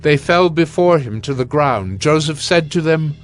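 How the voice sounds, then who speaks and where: A man's voice: a little high, expressive, normal speed. Male narrator reading scripture.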